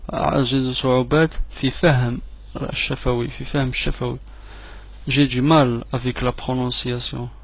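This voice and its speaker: A man whose first language is Arabic, teaching phrases in a slow repeat-after-me drill and then translating each one quickly. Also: medium pitch, level tone. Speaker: French teacher.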